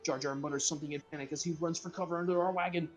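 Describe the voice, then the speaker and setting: Guy narrating a script real quick, kinda higher voice, informal amateur comedy read, trips on words. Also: steady tone, soft background music. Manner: informal, stumbles over words, adds sound effects.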